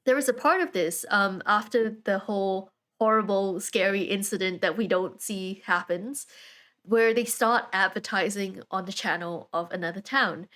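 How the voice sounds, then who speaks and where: A woman's voice: mid-range voice, moderate pace, fairly bright tone. A woman hosting a video essay, talking in an informal, conversational and wry way. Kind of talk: casual video essay commentary.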